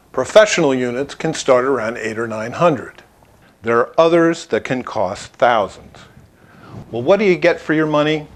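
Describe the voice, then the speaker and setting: A man presenting a scripted product overview in an instructional, emphatic, clearly structured way. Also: medium pitch. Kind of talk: scripted explainer narration to camera.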